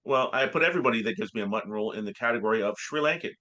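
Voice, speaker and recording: A man co-hosting a comedy podcast, telling rambling stories in halting bursts full of filler sounds. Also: fairly low pitch, expressive, pushed and bright. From Canada. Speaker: podcast co-host. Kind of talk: casual comedic chat.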